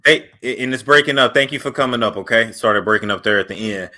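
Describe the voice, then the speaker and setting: A man's voice: mid-range voice, fast, bright, forceful tone. A man hosting a call-in show, laid-back and playful, questioning his callers as they talk. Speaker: host.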